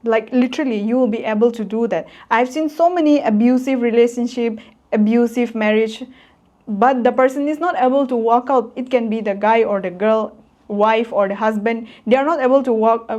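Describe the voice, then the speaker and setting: A young woman in her twenties with a slightly high voice, vlogging from her seat at home. She talks to camera in an earnest, blunt, emphatic way, pressing her points plainly. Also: a little mellow. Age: 20s. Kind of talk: casual motivational talk to camera.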